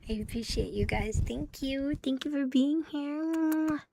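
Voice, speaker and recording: A woman livestreaming ASMR in a playful, cutesy catgirl manner, soft and breathy, often whispering, close to the microphone. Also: high voice, measured pace, noisy background. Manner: playful, cutesy catgirl persona, with giggles and purring. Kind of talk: ASMR, intimate chat to live listeners.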